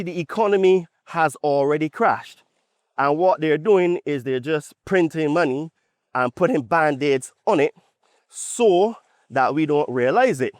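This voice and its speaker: A man's voice: on the high side, dry recording. Bajan man, dry close podcast sound. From Barbados. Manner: conversational, emphatic, punctuates points with "right?". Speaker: podcast host. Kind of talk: opinionated podcast monologue.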